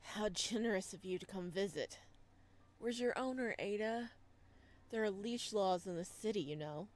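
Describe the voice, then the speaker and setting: A woman's voice: medium pitch, moderate pace, lightly acted. A woman voicing a lonely, wounded young man in a visual novel, wistful and biting. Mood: sneering. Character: lonely, wounded, sardonic young male prisoner, Mishka.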